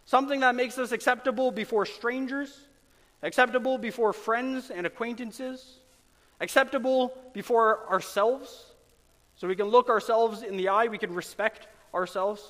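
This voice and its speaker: High-voiced man preaching in a reverberant hall. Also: a bit bright. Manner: earnest, emphatic preaching with dramatic pauses. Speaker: pastor. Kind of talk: sermon.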